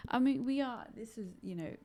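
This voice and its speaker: A woman, a podcast guest chatting casually, agreeable and quick with soft murmurs of assent. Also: on the high side. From United Kingdom. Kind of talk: casual conversation.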